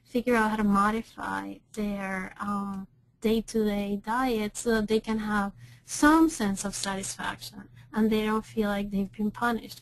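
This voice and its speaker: A woman's voice: mid-range voice, low-quality recording. A woman clinical educator presenting a webinar in a conversational way, with frequent ums and self-corrections.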